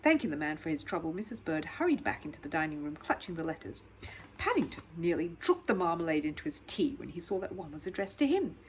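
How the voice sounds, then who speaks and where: Female narrator for a children's book, lively and varied intonation, faintly noisy recording. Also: low-pitched, fast. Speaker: storyteller reading a children's book. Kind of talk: expressive scripted narration.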